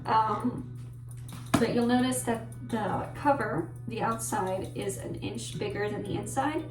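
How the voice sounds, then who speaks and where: A woman's voice: fairly high pitch, measured pace. Rambling Polish-native woman, echoey and distant. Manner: rambling, self-correcting, awkwardly self-deprecating. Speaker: book vlogger. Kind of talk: casual book talk to camera. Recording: echoey room with mic at a distance and music behind.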